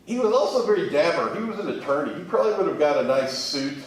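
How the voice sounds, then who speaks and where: A man's voice: on the high side, expressive, quick pace. A man from Kentucky, speaking conversationally as an interview guest. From Kentucky, United States. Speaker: interview guest, museum sales manager. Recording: very echoey room, distant mic.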